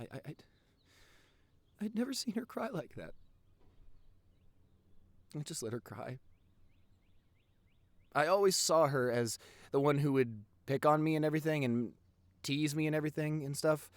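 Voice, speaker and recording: A man playing a sincere, protective young boyfriend in an intimate audio roleplay, rambling earnestly with halting repeats and pauses. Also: fairly high pitch, expressive, dry recording, close to an everyday voice. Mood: upset, tender, then fond.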